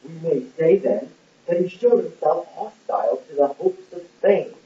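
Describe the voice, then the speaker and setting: Man playing scheming male court official, smooth, measured, formal, insistent, stage drama, distant microphone, muffled lo-fi sound. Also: a little high, close to an everyday voice. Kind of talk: stage-play acting in an amateur puppet show. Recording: roomy, muffled, distant lo-fi recording.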